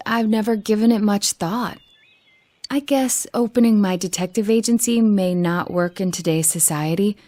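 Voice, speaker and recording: A reserved young detective girl in a video game. She is modest and easily embarrassed, speaking politely and carefully at a medium pitch, with a slight hesitance. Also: darkish tone. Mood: thoughtful. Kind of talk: video game dialogue acting.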